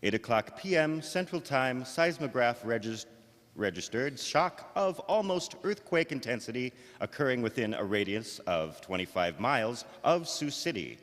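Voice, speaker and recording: An actor playing an earnest radio news reporter in an old-time radio drama, speaking in a formal, measured announcer style. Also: male voice, medium pitch, expressive, fairly bright tone, natural voice. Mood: formal, announcing. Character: earnest, probing male radio news reporter interviewing live. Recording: hall reverb.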